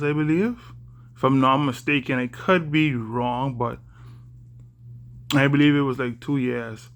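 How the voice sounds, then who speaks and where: A young man from the Bahamas with a Bahamian accent, talking to camera in a halting, careful way with many fillers. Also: medium pitch, fairly bright tone. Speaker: vlogger. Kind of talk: casual talk to camera.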